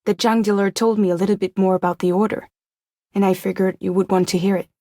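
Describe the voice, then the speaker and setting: A woman's voice: medium pitch, level tone, fast talker, dark tone, no room sound, clean studio sound, natural voice. An actress playing a weary, wry young woman courier in a video game, guarded but caring, speaking in an understated, intimate way. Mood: matter-of-fact, wry.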